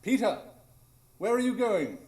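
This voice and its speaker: Male actor as confident radio-play detective: eloquent, defiant, highish voice, very animated delivery. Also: measured pace. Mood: resolute. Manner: theatrical, articulate, declamatory. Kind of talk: live radio drama acting.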